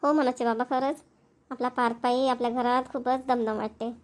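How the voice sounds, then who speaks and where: A woman playing a gentle, talkative elderly village grandmother in a cartoon, with a rural Maharashtrian accent. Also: a little high, fairly even intonation, brisk, natural voice. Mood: homely, tired. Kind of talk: cartoon family dialogue.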